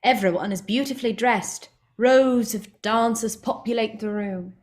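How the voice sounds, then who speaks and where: A woman's voice: a little high, a little slow. A woman reading scripted stage directions as the narrator of a drama.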